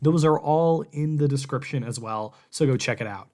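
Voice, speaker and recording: A man's voice: on the high side, moderate pace, dark tone. A man hosting a podcast in a casual, conversational style, talking loosely and informally. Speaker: podcast host and game reviewer. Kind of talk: casual podcast interview chat.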